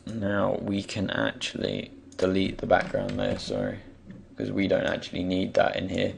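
A man with a croaky, just-woken voice gives an unscripted software tutorial, hesitantly thinking aloud as he goes. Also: deep voice, normal speed. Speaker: tutorial presenter. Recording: faint background noise, keyboard and mouse clicks.

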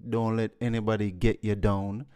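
Laid-back Jamaican man with smooth voice. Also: lowish voice, flat delivery, normal speed, a little mellow. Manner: laid-back, chatty, peppered with "you know" and "you understand". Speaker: guest musician. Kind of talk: casual interview. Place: living room with mirrored wall decor. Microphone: studio mic on boom arm.

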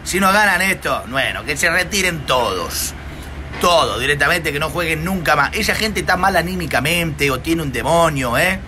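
A man's voice: on the high side, lively intonation, moderate pace, background noise. A man in his forties with an Argentine Spanish accent, a pastor chatting on a phone livestream, jokey and exclamatory, tagging lines with "eh". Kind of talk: casual livestream chat. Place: outdoor terrace in hot sun. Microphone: phone at arm's length.

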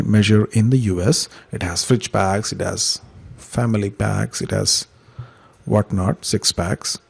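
A man giving an informal step-by-step software tutorial in a conversational, explanatory way, with a gravelly, husky voice. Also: mid-range voice. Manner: explanatory, conversational, uses examples. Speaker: software trainer, instructor.

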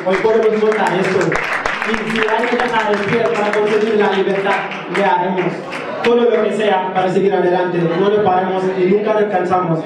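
A man's voice: high voice, little pitch movement, a crowd in the background. Earnest man speaking out in an echoey room. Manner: earnest, rousing, slow emphatic phrasing. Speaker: actor speaking at an open mic. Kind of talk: impassioned speech to an audience. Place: small indoor performance space with brick wall. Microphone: handheld mic, far camera. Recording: echoey room, far from mic, audience.

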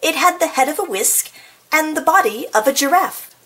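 A woman reading a children's picture book aloud in an expressive, playful way, with casual, chatty asides. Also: high-pitched, moderate pace, poor audio. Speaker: storybook reader.

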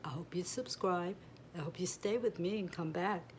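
A middle-aged woman with a notably low, nasal voice that sounds a bit stuffy. She rambles casually and warmly through a home tutorial, trailing off with fillers. The camera mic sits back in a room with a faint background hiss. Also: normal speed. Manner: chatty, meandering, trails off with fillers. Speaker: crochet vlogger.

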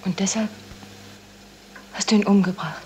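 A woman's voice: medium pitch, steady tone, moderate pace, a little mellow, a little hiss or hum, lightly acted. An actress playing a troubled young woman in a 1970s radio crime drama, speaking earnestly and hesitantly. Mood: shocked. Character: troubled, confessing young woman, blackmailed heiress.

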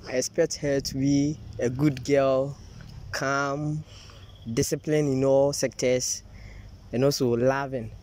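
A man's voice: mid-range voice, level tone, slow pace, a little mellow, a little hiss or hum. A young male first-year student from Ghana answering interview questions outdoors on campus, easygoing and searching for words. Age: young adult. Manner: easygoing, hesitant, many "you know" fillers. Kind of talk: casual street interview answers.

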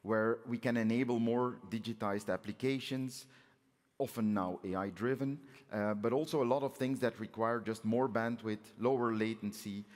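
A man in his forties giving a measured, explanatory keynote speech at a conference, as the president of an organisation. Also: medium pitch, fairly even intonation. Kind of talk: prepared conference speech with slides. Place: on stage in a large conference auditorium. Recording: reverberant large hall sound.

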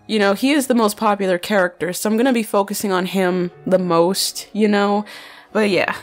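A woman's voice: medium pitch, fairly even intonation, normal speed, faint music behind. A young woman chatting casually while answering fan questions, filling her talk with "like" and "bro", her voice slightly nasal. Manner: chatty, many fillers like "like" and "bro". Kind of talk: casual chat.

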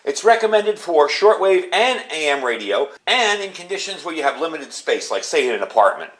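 A man's voice: on the high side, very animated, a little fast, fairly bright tone. A middle-aged man giving a casual, informal hands-on walkthrough, talking as a video host at an indoor workbench. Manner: chatty, informal, explanatory. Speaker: video host demonstrating gear.

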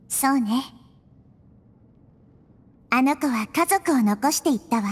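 A woman voicing a gentle, wise, child-sized goddess in an anime-style game, speaking in a calm, measured and reflective manner. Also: sits high, breathy edge. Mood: thoughtful. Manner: measured, reflective, softly feminine speech.

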